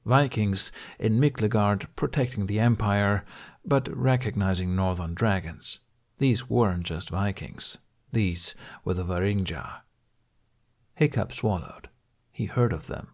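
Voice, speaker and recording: A man's voice: lowish voice, measured pace, a little mellow. A man reading scripted story narration as an audiobook narrator.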